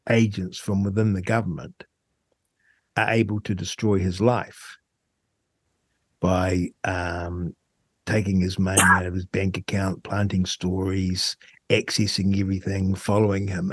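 A man with a fairly low voice and a New Zealand accent hosts a radio talk show. He speaks conversationally and reflectively, halting as he pieces his thoughts together. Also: fairly even intonation, breathy edge, dry recording.

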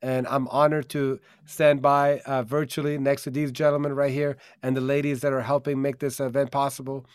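A man's voice: mid-range voice, flat delivery, moderate pace, hardly any pauses, clean tone, dry recording, studio quality. A man born in Germany and raised in America, hosting a live stream in an upbeat, earnest way with many fillers, over a video call. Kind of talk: casual promotional live-stream talk.

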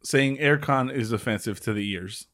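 A man's voice: medium pitch, normal speed, fairly bright tone. A man co-hosting a casual chat, joking in a dry, laid-back way.

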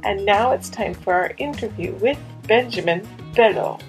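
A woman's voice: mid-range voice, normal speed, faint music behind, poor audio. A woman podcast host introducing and narrating in a wry, conversational way. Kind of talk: scripted podcast narration and interviewing.

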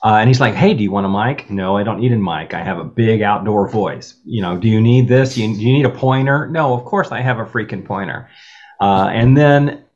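A man on a discussion panel, telling animated stories with wry asides. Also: fairly low pitch, fairly quick. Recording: muffled lo-fi audio.

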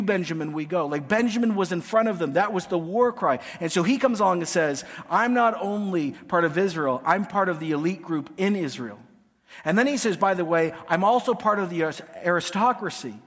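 A man preaching a sermon, emphatic and dramatic, with pauses and swings between hushed and forceful delivery. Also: sits high. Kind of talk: sermon, expounding scripture with dramatized paraphrase. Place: large reverberant hall, preaching to a congregation. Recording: long hall reverb.

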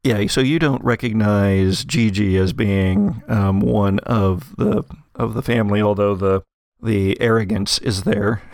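Gravelly male game master. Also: fairly low pitch, a little slow, a little mellow, no room sound. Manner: conversational, improvising as narrator. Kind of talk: narrating an actual-play tabletop game.

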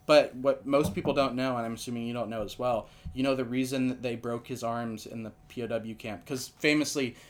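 A man with a nasal voice hosts a casual comedy podcast, spinning absurd tall tales in a deadpan, rambling way. His pitch sits in the middle range, and he speaks into a podcast microphone. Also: a little fast, hardly any pauses. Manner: rambling, deadpan absurd storytelling with many fillers. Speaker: podcast host.

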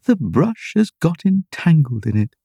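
A woman plays a contrary, vague queen from a storybook. The voice is husky and mellow, swinging widely in pitch, with a lofty matter-of-fact way of laying down rules. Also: very animated, measured pace, dry recording, clean studio sound, heavily acted. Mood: vague, sighing.

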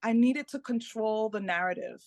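A woman's voice: a little high, moderate pace, dry recording, clean studio sound. Spanish-first-language Dominican American woman. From Dominican American, parents from the Caribbean. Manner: animated, repeats words, often asks "right?". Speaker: author guest. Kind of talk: casual interview talk.

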